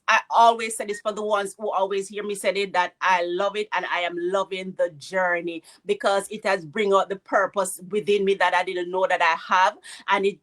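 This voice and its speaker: A middle-aged woman with a Jamaican accent speaks in a fairly high voice. She co-hosts with a folksy, motivational style, circling back over words for emphasis. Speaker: co-host. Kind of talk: motivational personal testimonial.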